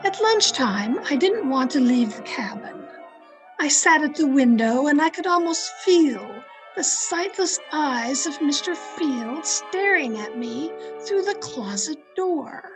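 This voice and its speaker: Woman playing a high-pitched, anxious radio-mystery heroine. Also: animated, takes it slowly, heavily acted, low-quality recording. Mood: tense, uneasy. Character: anxious, guarded woman mystery novelist hiding a secret. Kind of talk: old-time radio drama acting, first-person suspense narration. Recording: remote video-call recording with music behind.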